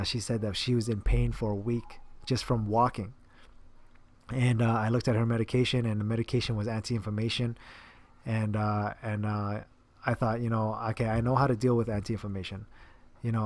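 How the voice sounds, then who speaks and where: A man's voice: mid-range voice, a little mellow. A man, a personal trainer, talking casually to camera about a client, rambling with many fillers and restarts. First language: English.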